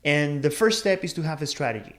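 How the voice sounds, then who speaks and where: A man's voice: fairly high pitch, animated, fast talker, fairly bright tone. A man in his thirties, born in Peru, talking casually to camera about career choices in a thoughtful, earnest way, with frequent restarts and fillers. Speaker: career advice video host. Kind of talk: casual personal talk to camera.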